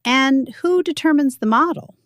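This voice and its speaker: A woman narrator voicing a shrewd older woman who asks measured, formal, probing questions in a story. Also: sits high, a bit bright, no echo, clean studio sound, voice pushed up. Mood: coolly probing.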